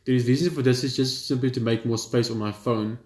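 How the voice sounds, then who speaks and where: A man at a middle pitch reads a story game's narration aloud and breaks off into casual chat. He stumbles and restarts words and swears now and then. The room sounds roomy, with a faint hum underneath. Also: steady tone, fairly quick. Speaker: gaming channel host. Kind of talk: reading a visual novel aloud with commentary.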